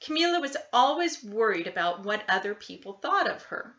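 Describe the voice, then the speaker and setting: A woman reading a children's picture book aloud in an expressive, deliberate storytelling style. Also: mid-range voice, muffled recording. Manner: animated, deliberate storytelling delivery. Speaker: storybook reader.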